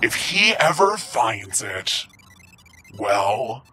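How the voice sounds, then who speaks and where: A shadowy spymaster with a synthesized, processed voice in a radio-style drama. He speaks slowly with a bright, sharp tone and lively swings in pitch. Faint music runs underneath. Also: heavily acted. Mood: dry menace. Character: secretive male handler with synthesized voice. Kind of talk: audio drama acting.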